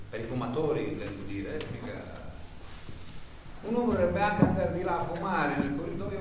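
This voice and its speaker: An actor on stage playing a chatty, anxious man in a waiting room, who rambles and stammers. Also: a little high. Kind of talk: live theatre performance. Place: theatre stage. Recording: roomy stage sound from far off, noisy.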